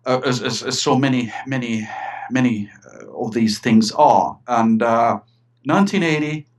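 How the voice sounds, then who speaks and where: A man's voice: mid-range voice, measured pace. Eager middle-aged Finnish man, lots of restarts. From Finland. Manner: eager, repeats words, many restarts. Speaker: guest, writer and filmmaker. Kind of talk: casual interview chat.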